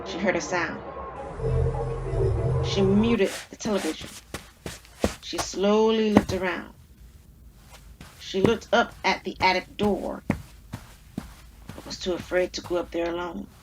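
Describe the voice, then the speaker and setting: Casting: female storyteller, mid-pitched, deliberate dramatic narration with suspense. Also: fairly even intonation, music in the background, poor audio.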